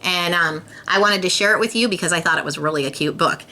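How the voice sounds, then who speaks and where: A woman's voice: lowish voice, fast talker, pushed and bright. A woman reading a children's storybook aloud, expressively and speaking directly to young listeners. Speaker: storybook reader.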